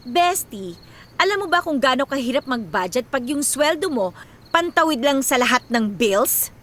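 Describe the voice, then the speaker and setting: An actress playing an overworked young millennial daughter with a Filipino accent, theatrical and sarcastic in a comedic radio drama. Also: female voice, sits high, sing-song, moderate pace, a bit bright, exaggerated voice. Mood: exasperated ranting. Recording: music behind the voice.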